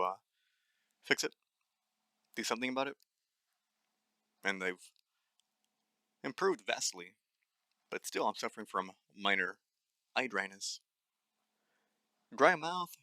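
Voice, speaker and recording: A man's voice: lowish voice, pushed and bright, no echo. A young adult man hosting his own nutrition podcast, speaking blunt and angry with a dry voice, swearing often and stumbling with fillers. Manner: many fillers and restarts. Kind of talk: solo ranting monologue.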